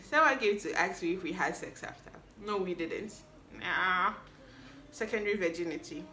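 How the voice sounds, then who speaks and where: A woman's voice: lowish voice, fairly bright tone. A woman, hosting a solo podcast recap in a playful, chatty way that teases the listener. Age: 20s. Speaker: podcast host. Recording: echoey room.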